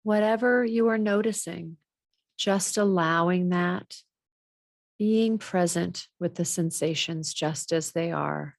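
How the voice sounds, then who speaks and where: Slow, low-pitched woman guiding meditation. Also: creaky, dry recording, clean studio sound. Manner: measured, instructional, gently guiding. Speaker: meditation teacher. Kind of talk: guided body scan meditation.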